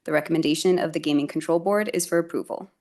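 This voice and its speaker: A woman's voice: deep voice, fast, darkish tone. A woman as meeting secretary, reading agenda items into the record in a businesslike manner.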